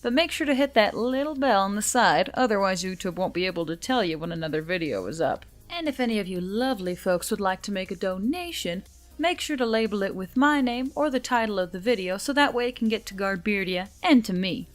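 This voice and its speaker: A woman's voice: mid-range voice, expressive, a little fast, no echo. A woman reading scripted audiobook-style fiction as a story narrator, with music behind her. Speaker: story narrator, channel reader. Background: background music.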